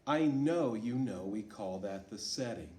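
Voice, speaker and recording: A man reading a storybook aloud to children as a teacher, asking them questions in an encouraging way. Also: fairly low pitch. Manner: slow, lively, encouraging teacher talk. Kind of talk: read-aloud lesson for children. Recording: echoey room.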